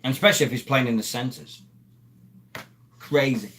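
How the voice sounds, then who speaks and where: A man chats loosely into a webcam mic, hosting a live stream for fans. He rambles from one story to the next and breaks off to greet viewers in the chat. His voice is bright and forceful at a middle pitch. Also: normal speed. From Sheffield, England. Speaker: live stream host, former rugby league player.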